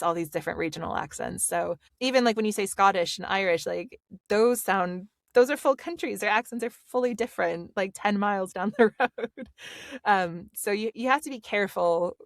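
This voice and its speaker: A woman's voice: medium pitch, gravelly, no echo, studio quality. A woman with a standard Pacific Northwest American accent, an audiobook narrator chatting animatedly in a podcast interview, with lots of fillers. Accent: General American, Pacific Northwest. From Pacific Northwest, USA. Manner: animated and talkative, many fillers.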